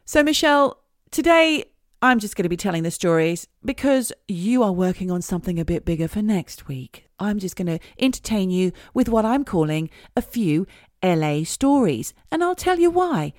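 A woman's voice: mid-range voice, very animated, normal speed, no room sound, studio quality. A middle-aged woman co-hosting a casual comedy podcast, telling stories in a chatty way. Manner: chatty storyteller, sings snatches of songs. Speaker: podcast co-host.

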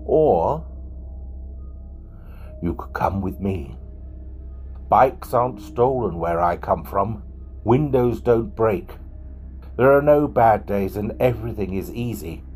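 A man playing a grave, mysterious Father Christmas in an audio story, soft-spoken and coaxing, with a husky, breathy voice and slow, deliberate delivery. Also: fairly low pitch, lively intonation, faint music behind. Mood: quietly coaxing. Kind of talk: dramatic audio story acting.

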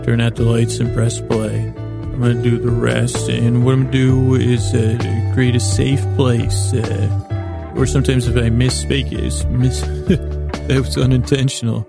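A man with a gravelly voice hosting a bedtime podcast in a halting, stammering, meandering monologue. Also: medium pitch, little pitch movement, loud music behind the voice. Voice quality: gravelly, creaky voice. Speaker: podcast host.

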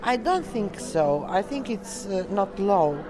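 A woman from Serbia with a Serbian accent, answering interview questions in halting, non-native English as a delegate. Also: mid-range voice, big pitch swings, crowd noise, rough recording. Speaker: coeliac association delegate being interviewed.

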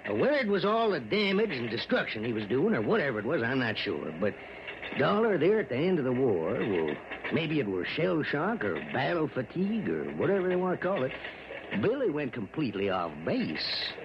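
A man's voice: a little high, sing-song. An actor in an old-time radio drama playing a worried, rambling small-town mayor and newspaperman with a rural American accent. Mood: anxious, insistent. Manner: rambling, folksy, emphatic drawn-out storytelling. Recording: muffled old radio recording, faint noise.

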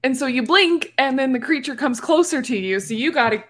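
A woman's voice: sits high, lively intonation, brisk, a bit bright. A woman in a casual podcast conversation, talking very informally with lots of "like.". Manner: informal, full of "like".

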